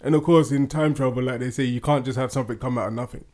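A man's voice: mid-range voice, steady tone, quick pace, a little mellow. Rambling man with many restarts. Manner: rambling, hesitant, full of restarts and fillers; laughs often. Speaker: podcast co-host. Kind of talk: casual chat.

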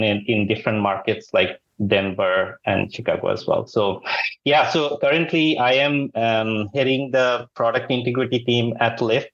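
A man's voice: medium pitch, fairly bright tone. A man in his forties from Bangladesh, answering interview questions in an earnest, polite way. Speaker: guest engineer. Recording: dull lo-fi video call.

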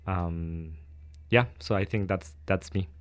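Soft-spoken man, thoughtful and hesitant. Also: low voice, steady tone, takes it slowly, dry recording, studio quality. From Ecuador. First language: Spanish. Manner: hesitant, thoughtful, many ums and restarts. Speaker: guest, doctoral student. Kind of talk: interview answers.

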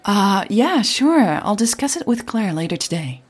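A woman voicing a curious, wry female tech blogger in an audio drama, with a husky, breathy voice and a questioning manner. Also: medium pitch, very animated, moderate pace, voice pushed up. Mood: hesitant.

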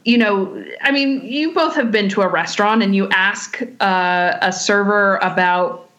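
A woman's voice: mid-range voice, a little slow, a bit bright. A woman from California, a sommelier guest, answering questions in a thoughtful way with many fillers and restarts. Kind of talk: casual interview answers.

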